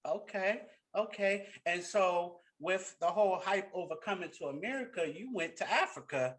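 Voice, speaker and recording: Host: man with a bright, slightly high voice, easygoing interviewer on a headset. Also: normal speed. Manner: relaxed conversational questioning. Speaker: podcast host. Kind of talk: casual interview chat. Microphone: headset on video call.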